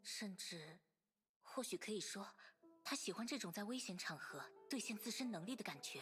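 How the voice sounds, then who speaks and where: A woman's voice: mid-range voice, animated, a little fast, a bit bright, breathy edge. A woman playing a composed storyteller, reading scripted narration for a video game story. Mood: composed, solemn. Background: music.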